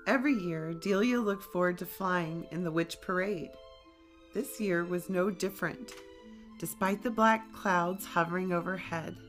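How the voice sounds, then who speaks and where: A woman reading a children's story aloud in a deliberate, expressive storytime style. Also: fairly low pitch, soft background music.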